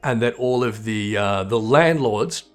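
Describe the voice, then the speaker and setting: A man's voice: lowish voice, a little slow. Musing man with music behind him. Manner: reflective, musing. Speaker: gaming channel host. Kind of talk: casual game playthrough commentary.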